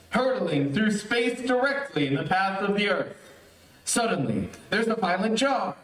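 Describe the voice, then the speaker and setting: High-pitched, animated male radio announcer, echoey. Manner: formal, declamatory. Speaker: host and announcer. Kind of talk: theatrical old-time radio announcing. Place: theater stage. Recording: echoey theater, distant mic, live stream.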